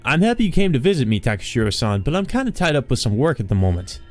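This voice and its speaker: Man reading as a guarded, anxious male artist, raised pitch. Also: sing-song, fast, faint music behind, close to an everyday voice. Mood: nervous, evasive. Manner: hesitant, evasive, formally polite.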